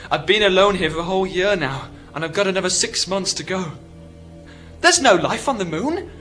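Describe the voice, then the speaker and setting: An actor with a British accent playing a lonely, stranded astronaut in a radio drama, anxious, halting and incredulous. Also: male voice, sits high, animated, fairly bright tone. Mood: awed, doubting. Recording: prominent music behind the voice.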